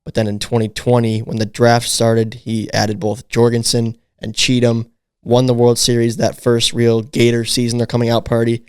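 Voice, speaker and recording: A man's voice: mid-range voice, completely flat delivery, normal speed, clean tone, no echo, studio quality. A man hosting a casual sports podcast, leading the talk and asking questions. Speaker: podcast host.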